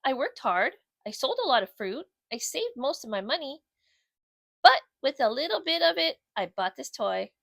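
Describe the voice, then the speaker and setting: A sweet and industrious girl bulldog from a storybook, voiced by a woman reader. She speaks earnestly in a fairly high, very lively voice, stressing her words with determination. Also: normal speed, gravelly, no echo, clean studio sound, full character voice. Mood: proud, cheerful. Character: sweet, smart, busy, determined female bulldog. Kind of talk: children's storybook character voice.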